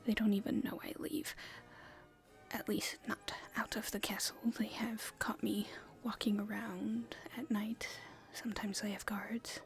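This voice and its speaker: Female performer as proper but secretly rebellious princess, ASMR roleplay. Breathy, hushed, unhurried, hesitant courtly manners over faint music. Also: mid-range voice, level tone, close to an everyday voice. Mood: anxious, confiding. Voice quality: soft, breathy, often whispered. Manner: formal, polite, hesitant. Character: proper young princess at her debut, stifled, secretly rebellious.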